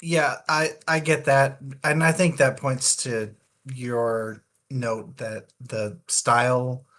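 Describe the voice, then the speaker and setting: A man's voice: mid-range voice, level tone, slow, a bit bright. Man thinking aloud in casual book chat. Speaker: podcast co-host.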